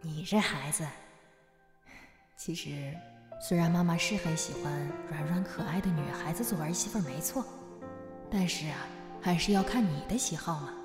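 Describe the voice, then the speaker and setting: A woman's voice: deep voice, normal speed, natural voice. A woman playing a coaxing middle-aged mother in a modern audio drama, in an echoey recording. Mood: coaxing, fond. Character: coaxing middle-aged mother pushing matchmaking on her son. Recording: echoey, long reverb, music behind.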